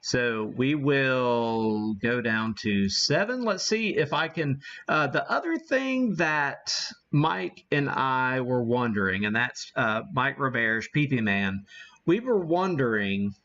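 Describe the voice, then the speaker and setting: Slow, halting man on dull audio. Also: mid-range voice, animated, fairly bright tone. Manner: halting, thinking aloud while operating equipment. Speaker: livestream host, amateur radio operator. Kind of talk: casual unscripted live demo chat.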